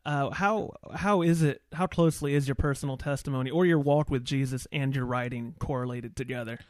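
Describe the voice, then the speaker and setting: A man's voice: medium pitch, a little fast, no echo. Man hosting a conversational podcast, dry sound. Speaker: podcast host. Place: converted garage home studio.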